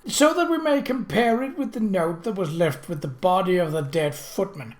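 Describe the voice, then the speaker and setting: A narrator voicing an imperious, mocking British prince in an audiobook, pompous and theatrical, with deliberate, drawn-out emphasis. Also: mid-range voice, lively intonation, reverberant, pitched above the performer's own voice, close to an everyday voice. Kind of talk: audiobook character voice.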